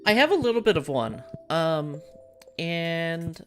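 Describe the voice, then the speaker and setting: A woman's voice: deep voice, very animated, slow pace. A woman streamer hosting a casual chat while gaming, frank and rambling, with casual swearing. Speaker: streamer and host. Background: game music.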